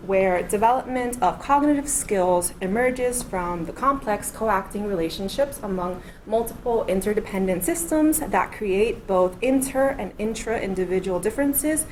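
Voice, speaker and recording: A woman in her thirties from Miami, a postdoctoral researcher, gives an in-person academic lecture. Her voice sits at a middling pitch, and she lays out her ideas in a careful, step-by-step way. A faint hum of room noise runs underneath. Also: poor audio. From Miami, United States. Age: 30s. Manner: explanatory, methodical, walks through points in order.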